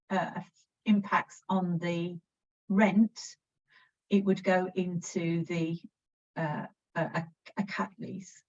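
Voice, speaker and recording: A woman with an English accent, a council officer explaining a process in an informal presentation, steady and practical with frequent restarts. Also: mid-range voice. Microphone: video call. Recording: distant, dull video call audio.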